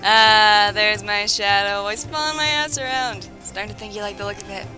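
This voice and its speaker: An actress playing a loud, brash woman sergeant who teases her friend. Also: medium pitch, fairly even intonation, brisk, over music, natural voice, poor audio. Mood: teasing. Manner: brash, teasing.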